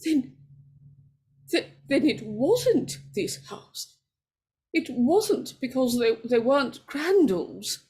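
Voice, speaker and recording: An actress playing a frightened, superstitious older woman in 1940s radio horror style, breathy and stammering. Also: sits high, big pitch swings, a little mellow, heavily acted. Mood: horrified, frightened. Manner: halting, stammering.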